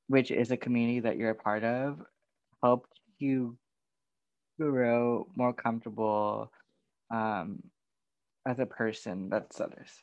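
A man hosts the conversation and asks questions slowly and thoughtfully, with soft pauses. His audio is dry and a bit dull, with no room sound. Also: medium pitch, dry recording. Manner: thoughtful, with gentle filler pauses. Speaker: podcast host. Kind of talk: interview questions.

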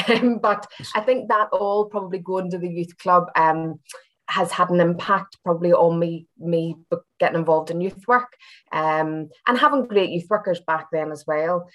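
A woman's voice: fairly low pitch, normal speed, rough recording. A woman from Belfast with a Northern Irish accent, talking in a chatty, reflective, slightly hesitant way as an interview guest. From Belfast, Northern Ireland. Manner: chatty, hesitant, circling back with hedges. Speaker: university senior lecturer, interview guest. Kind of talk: casual reflective personal interview.

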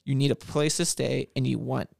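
A man's voice: mid-range voice, steady tone, normal speed, creaky, darkish tone, no room sound, studio quality. A man hosting a podcast, interviewing guests in a casual conversational style. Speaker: podcast host. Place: office, close dry sound.

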